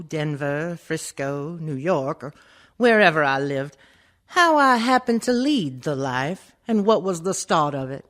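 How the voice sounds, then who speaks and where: A woman acting a world-weary, frank woman of ill repute, telling her story in a verse monologue for radio. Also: lowish voice.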